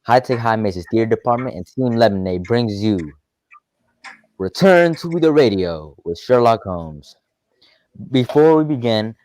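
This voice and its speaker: Lowish-voiced man announcing a radio show. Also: a little mellow, no echo, rough recording. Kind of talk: scripted radio-style announcing.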